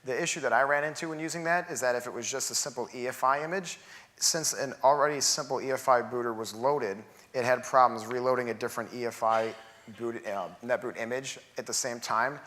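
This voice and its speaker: A man's voice: medium pitch, brisk. Methodical man presenting on a stage. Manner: explanatory, steady, methodical. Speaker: conference presenter. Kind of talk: technical Q&A answers. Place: large theater stage.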